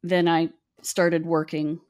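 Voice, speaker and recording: A woman's voice: low voice, flat delivery, slow pace, a little mellow, smooth. A middle-aged woman from West Virginia, telling a meandering, self-deprecating story in a casual podcast chat.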